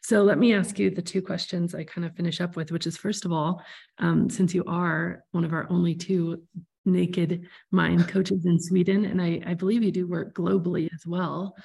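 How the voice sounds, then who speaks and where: A woman with a low, very creaky voice hosts a conversational podcast interview. She sounds husky and speaks in a reflective, appreciative manner. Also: flat delivery, moderate pace, darkish tone. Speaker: podcast host.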